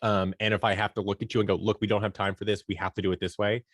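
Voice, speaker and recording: A man with a lowish voice talks as a podcast guest. He explains in a conversational way, slipping into imagined dialogue to make his points. The sound is dry, with very little room in it. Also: racing, no room sound. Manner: animated storytelling, acts out imagined dialogue. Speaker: guest, creative company owner and director. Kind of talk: conversational podcast interview answers.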